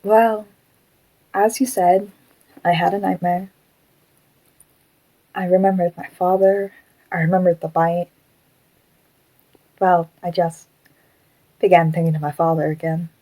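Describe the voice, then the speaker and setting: A woman playing a gentle, troubled animatronic fox who was once human, speaking hesitantly and reflectively in an audio drama. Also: lowish voice, little pitch movement, measured pace, pauses often, close to an everyday voice, low-quality recording.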